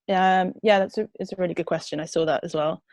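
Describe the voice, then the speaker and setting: Young woman, low mellow voice, chatty and hesitant, video call Q&A, dry sound. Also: moderate pace, gravelly, no room sound. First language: not Dutch. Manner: chatty, laughs often, hesitant. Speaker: presenter of a food waste charity. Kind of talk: online Q&A answering.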